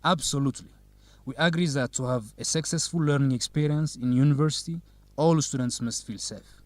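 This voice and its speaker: An actor playing a dignified young student council president, answering formally in an educational radio drama. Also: male voice, mid-range voice, darkish tone, no echo, close to an everyday voice. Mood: formal, assured. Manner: formal, measured, politician-like.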